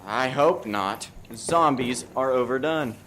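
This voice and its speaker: A man playing a hapless, self-important amateur sleuth in a radio farce, with measured, mock-serious delivery. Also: mid-range voice, a bit bright, slight background noise. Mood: matter-of-fact. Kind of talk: farce radio play acting.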